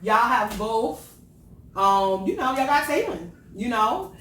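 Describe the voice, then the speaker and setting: A woman's voice: on the high side, sing-song, takes it slowly, fairly bright tone, recorded from a distance. A woman hosting a casual chat show, teasing her guest and asking easygoing questions. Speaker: host. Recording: echoey room, off-mic, light noise.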